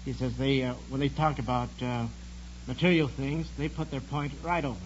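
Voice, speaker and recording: A man's voice: medium pitch, fairly even intonation, moderate pace. An American man, a priest being interviewed as a quiz contestant, answering in an earnest, polite and explanatory way. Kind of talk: answering interview questions on a broadcast. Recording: dull, noisy, humming 1950s broadcast recording.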